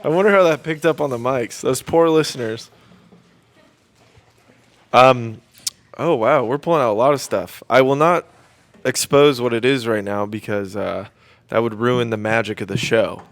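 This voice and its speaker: A man's voice: medium pitch, normal speed, pauses often, dry recording. A man chatting casually and playfully as the host of a live radio theater show. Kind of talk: casual chat. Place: radio studio.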